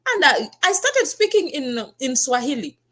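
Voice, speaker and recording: A woman's voice: high voice, sing-song, normal speed, sharp tone. A Kenyan woman with a Kenyan accent, hosting a talk and telling her own story in an earnest, emphatic way. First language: her Kenyan mother tongue. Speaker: talk show host.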